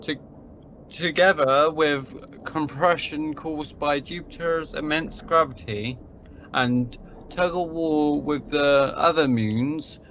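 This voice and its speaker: Man playing a slow, deadpan genius scientist. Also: a little high. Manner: deadpan, pedantic, deliberate. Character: cynical, unemotional genius scientist astronaut. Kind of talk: amateur radio play acting. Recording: muffled home-made recording, faint noise.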